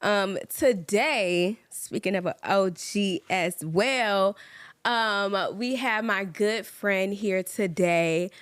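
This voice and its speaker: A woman's voice: medium pitch, slow, clear, resonant tone. A young woman from Georgia, hosting a casual podcast chat in an upbeat, talkative way with many ums. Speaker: podcast host.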